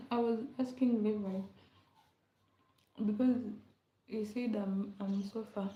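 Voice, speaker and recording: A woman's voice: medium pitch, fairly even intonation, slow pace, pauses often. A young woman from Kenya, chatting casually with viewers on a livestream, laughing often and repeating her words. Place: rented room at home, indoors. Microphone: laptop mic. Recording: echoey room, dull lo-fi sound.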